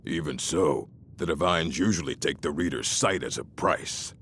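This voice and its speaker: An actor voicing a stern, grumpy old orc librarian in a fantasy game, gruff and contemptuous, with a gravelly voice. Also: male voice, a bass voice, expressive, moderate pace, a bit bright, a little hiss or hum, heavily acted. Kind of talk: fantasy video game voice acting.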